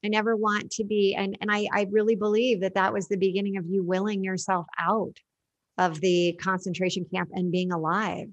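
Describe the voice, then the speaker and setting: A woman's voice: medium pitch, little pitch movement, normal speed, dull audio. A woman interviewing a guest, reflecting the guest's words back to her. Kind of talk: interview conversation.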